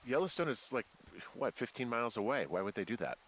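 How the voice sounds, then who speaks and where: A man's voice: mid-range voice, big pitch swings, fast, fairly bright tone, a little hiss or hum. A man playing a laid-back, middle-aged American fire lookout in a naturalistic video game, dry, understated and a little hesitant. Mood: puzzled.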